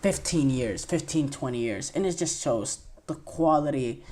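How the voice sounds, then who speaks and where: A man's voice: mid-range voice, measured pace, a little mellow, slight background noise. Rambling, enthusiastic young man podcasting. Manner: rambling and enthusiastic with many fillers and restarts. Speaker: podcast host. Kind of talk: solo sports podcast commentary.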